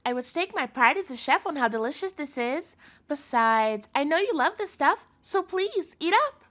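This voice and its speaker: Yandere girlfriend, played by a woman, audio roleplay. Cloying, controlling devotion; high-pitched, very animated delivery, pleading and doting. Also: normal speed. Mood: sweetly doting. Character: sweetly possessive yandere girlfriend, a doting chef captor.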